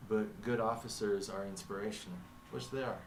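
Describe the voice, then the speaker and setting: A man with a low, even voice and little lift in his pitch talks through a reflective monologue. He halts and restarts as he reads from notes, and his first language is Arabic. He sits back from the mic in a roomy, echoing space with a faint hum behind him. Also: far from the mic. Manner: halting, thinking aloud with restarts. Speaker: vlogger narrating his own story. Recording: echoey room, mic set back.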